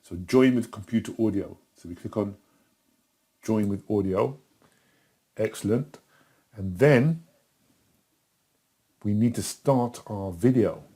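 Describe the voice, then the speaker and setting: A middle-aged man giving a casual step-by-step tutorial in a chatty, simple way, with a husky voice. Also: on the low side, slow pace, long pauses, warm tone. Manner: simple, chatty, talking himself through each step. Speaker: tutor in a tutorial video, also acting as the student.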